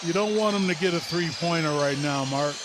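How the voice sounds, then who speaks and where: A male color commentator with a higher-than-usual voice chimes in on a live basketball broadcast. He is informal and opinionated, with loud arena noise all around him. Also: a little fast. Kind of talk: casual live sports commentary. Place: large indoor basketball arena. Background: loud arena noise, bouncing basketball.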